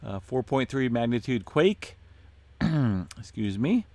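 A man hosting an earthquake update, talking casually through his screen with many fillers and a voice going hoarse. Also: medium pitch, takes it slowly, dry recording. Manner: relaxed, many "uh" fillers, addresses viewers as folks. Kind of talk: casual screen-share commentary.

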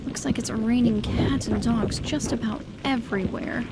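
Woman as a high-voiced, gentle mother. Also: moderate pace, very noisy, natural voice. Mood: soothing. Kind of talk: amateur comic fandub acting.

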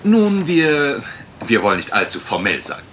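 A man acting a suave, theatrical gentleman detective in a German radio mystery play, courteous and pompous in his delivery. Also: medium pitch, huge pitch swings, measured pace, slight background noise. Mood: pompous, affable.

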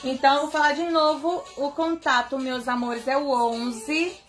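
Young woman, high voice; affectionate live-stream host shout-outs, phone mic, soft music under. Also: fairly even intonation, normal speed. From Bahia, Brazil. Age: young adult. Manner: chatty and affectionate, greeting viewers by name. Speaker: singer hosting a live stream. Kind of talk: casual live-stream chat. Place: bedroom indoors, seated on bed. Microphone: phone camera at arm's length.

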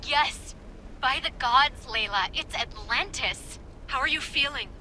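A worried scientist checking in, high and really bright. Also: female voice, unhurried, slight background noise, heavily acted, low-quality recording. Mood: concerned. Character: caring female scientist on radio. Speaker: game character.

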